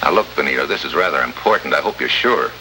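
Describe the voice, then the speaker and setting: Tough wry american secret agent, swingy low voice, old hissy radio show. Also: male voice, animated, fast. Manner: hard-boiled, wry, clipped. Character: tough, sardonic American government special agent. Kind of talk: 1950s radio drama acting.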